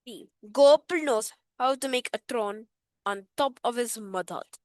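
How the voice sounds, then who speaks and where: A woman's voice: fairly high pitch, unhurried, a little mellow, no room sound, studio quality, natural voice. A woman reading multiple-choice quiz questions aloud while putting on a playful, exaggerated Indian accent. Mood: teasing. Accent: put-on Indian.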